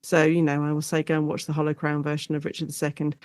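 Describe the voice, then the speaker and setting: A woman chatting casually as an interview guest, animated and often correcting herself as she explains. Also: low-pitched, fast, dry recording. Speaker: guest, university lecturer and author.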